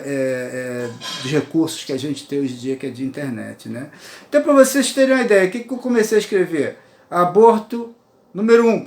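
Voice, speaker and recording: Earnest Brazilian man in his sixties, high voice. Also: a little mellow, roomy sound. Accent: Brazilian Portuguese. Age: 60s. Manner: rambling and earnest, checks in with listeners often. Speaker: poet and writer sharing views. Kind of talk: earnest personal monologue to camera. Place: his apartment.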